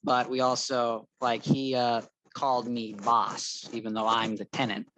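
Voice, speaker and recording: A young man from Thailand speaks at a medium pitch in a casual group chat. He explains his thoughts in a loose, rambling way, qualifying them as he goes. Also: little pitch movement, measured pace, fairly bright tone, no room sound, rough recording. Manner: rambling, tentative, hedged explanations. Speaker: chat participant.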